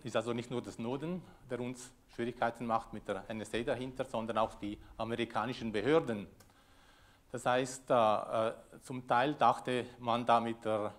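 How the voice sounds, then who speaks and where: Man giving a talk. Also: mid-range voice. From Switzerland. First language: Italian. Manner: loose, hesitant delivery with many fillers. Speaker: conference speaker. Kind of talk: conference presentation. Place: lecture room with audience. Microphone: lectern microphone.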